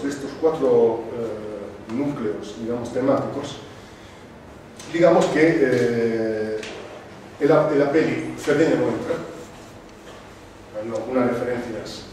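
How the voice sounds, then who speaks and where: A man from Sardinia, presenting a film to a cinema club audience, thoughtful and full of pauses and restarts. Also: mid-range voice, darkish tone. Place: cinema club screening room. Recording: echoey room, distant mic, noisy.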